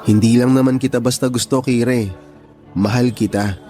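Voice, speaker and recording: A man's voice: mid-range voice, fairly even intonation, moderate pace, mellow, soft background music, close to an everyday voice. A man playing a devoted, sweet-talking young suitor in a Filipino radio love drama, earnest and reassuring.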